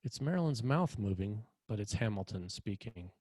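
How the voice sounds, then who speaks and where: A man with a fairly low voice narrates a screenplay. He reads the stage action in short, measured, dramatic phrases. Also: lively intonation, darkish tone, no echo. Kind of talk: scripted screenplay narration, present tense.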